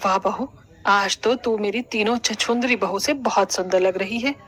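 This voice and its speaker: A woman's voice: medium pitch, moderate pace, fairly bright tone, natural voice. A woman playing a stern middle-aged mother-in-law in a family cartoon, speaking bluntly. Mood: admiring. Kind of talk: Hindi cartoon family drama dialogue.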